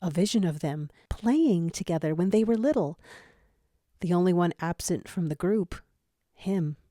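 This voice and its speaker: A woman's voice: on the low side, measured pace, mellow, no echo. A woman narrating scripted fan fiction in a dramatic storytelling style.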